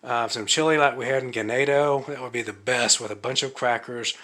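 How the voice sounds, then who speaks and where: A man thinking aloud in a casual vlog, speaking slowly with many pauses and fillers, his voice slightly raspy from fasting. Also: medium pitch, sharp tone. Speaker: vlogger. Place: living room of an apartment at night.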